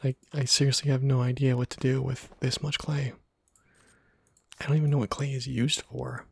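Hushed, breathy man doing gaming ASMR. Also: medium pitch, flat delivery, normal speed. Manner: hushed, musing to himself. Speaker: gaming video host. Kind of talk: ASMR gameplay commentary, soft-spoken to whispered.

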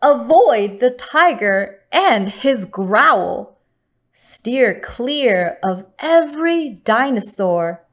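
A woman with a fairly high voice reads a children's bedtime picture book aloud. She goes very slowly, phrasing each line deliberately and with expression, as a storyteller for young listeners. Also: animated, fairly bright tone.

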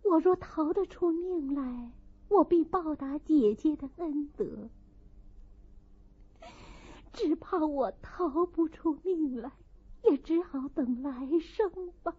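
A woman voicing a gentle, frail young concubine, tearful and resigned, in an audiobook. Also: high-pitched, takes it slowly, pitched above the performer's own voice. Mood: grateful, sorrowful. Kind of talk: audiobook character acting. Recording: muffled, thin phone-like sound.

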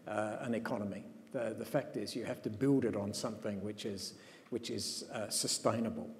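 A man's voice: medium pitch, mellow. A man speaking on a conference panel, hesitant with frequent restarts and fillers, recorded on a stage in a large hall. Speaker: panelist demographer. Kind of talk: panel discussion. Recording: long hall reverb, faint room noise.

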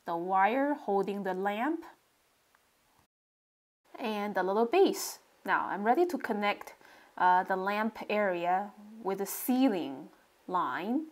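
A woman narrating a relaxed drawing tutorial step by step, describing what she does as she draws. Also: mid-range voice. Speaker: sketching tutorial host.

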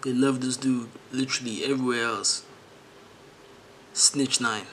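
A man's voice: mid-range voice, fairly even intonation, measured pace, bright, forceful tone, slight background noise, low-quality recording. A young man from South Africa giving casual, slangy reaction commentary as a video creator. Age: young adult.

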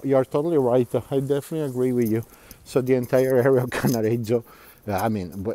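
A man's voice: on the low side, warm tone. A middle-aged man gives a live walking tour outdoors, reading viewer comments aloud and chatting with frequent fillers and laughs. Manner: chatty, laughs often, many fillers and restarts. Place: outdoors, quiet canal-side streets.

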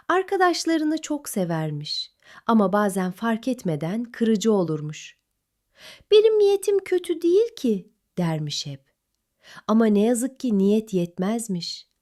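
A woman narrating a scripted children's fairy tale in an expressive, dramatic storytelling manner. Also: medium pitch.

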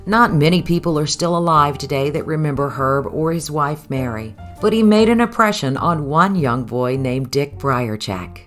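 Low-pitched woman narrating a documentary. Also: animated, normal speed, hardly any pauses, soft background music.

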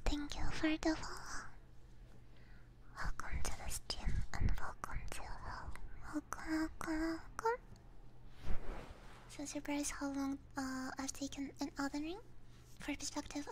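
A woman livestreaming ASMR in a soft breathy whisper, chatting casually with viewers close to a binaural microphone. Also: sits high, little pitch movement, a little slow, long pauses, slight background noise, poor audio. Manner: casual, chatty, frequent swearing. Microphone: binaural ear microphone, close up.

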